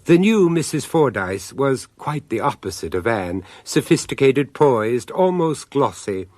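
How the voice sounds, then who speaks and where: Urbane horror storyteller, radio drama narration, animated intonation, deliberate phrasing, theatrical pauses. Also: male voice, mid-range voice, no room sound. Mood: wry, urbane. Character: urbane, macabre storyteller, savouring each detail.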